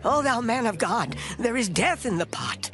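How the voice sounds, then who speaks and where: A man playing an anxious, excitable servant in a dramatized scripture reading, with a husky voice. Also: extremely high pitch, normal speed, heavily acted. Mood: alarmed. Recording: faint music behind the voice.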